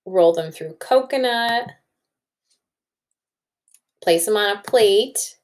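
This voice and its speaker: Female teacher for children's read-aloud: slow delivery, animated pitch, thinks aloud as she explains. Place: indoors at a computer.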